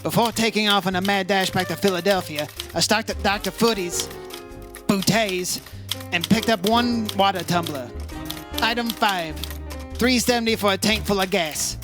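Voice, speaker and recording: A man's voice: sits high, moderate pace, lightly acted. Guy playing a hard-boiled radio insurance detective, clipped and urgent, music behind him. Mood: matter-of-fact narration. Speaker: radio drama actor. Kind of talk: old-time radio detective acting.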